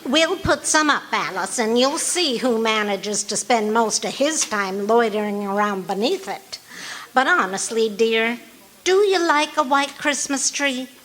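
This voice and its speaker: A woman's voice: fairly high pitch, expressive, measured pace, a bit bright, low-quality recording. A woman playing a patient, dryly teasing wife in an old-time radio comedy, with an affectionate, wry manner. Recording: live stage performance with background noise.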